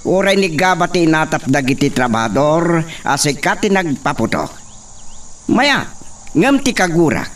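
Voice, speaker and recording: A domineering young hacienda master in an Ilocano radio drama, played by a man. His accent is Ilocano and his voice is highish and very animated, on a slightly distant, noisy recording. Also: unhurried. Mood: mocking, angry.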